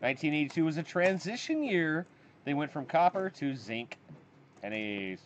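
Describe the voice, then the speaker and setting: A man's voice: a little high, sing-song, normal speed, highs cut off, a little hiss or hum. A man hosting a casual family coin hunt outdoors, keeping score and explaining finds in an encouraging way. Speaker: coin hobby host. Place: outdoors at a campsite table.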